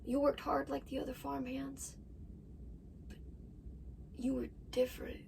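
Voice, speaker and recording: A woman's voice: high voice, little pitch movement, measured pace, creaky, a little mellow, heavily acted. A woman performing a dramatic monologue as a desperate young farm wife confessing to her absent husband in an anguished manner. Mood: quiet, bitter. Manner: anguished, swinging between whispers and outbursts. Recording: distant mic with faint background noise.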